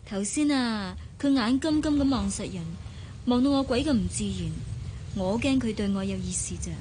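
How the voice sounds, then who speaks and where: An actress playing a playful, coquettish young secretary with a coy, teasing way of talking in Hong Kong Cantonese radio drama. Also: female voice, a little high, normal speed, dark tone, slight background noise, natural voice. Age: young adult.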